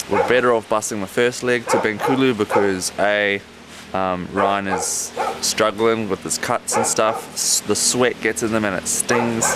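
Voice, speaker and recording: A man talks to camera for a travel video diary in a low voice. He explains things plainly and haltingly. The recording is swamped by loud noise. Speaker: travel documentary presenter.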